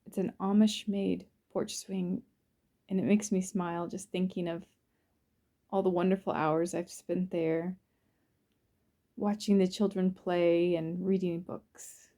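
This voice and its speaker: A woman narrates a guided visualization in a soothing, unhurried way, in short phrases with pauses between them. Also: fairly low pitch, level tone, mellow. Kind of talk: guided visualization, first-person present-tense narration.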